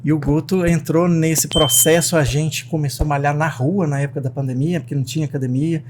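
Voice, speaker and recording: A man with a Brazilian Portuguese accent hosting a casual podcast as a psychiatrist, animated and talking straight to his listeners. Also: a little high, fairly quick, a little hiss or hum. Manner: animated, talks straight to the audience.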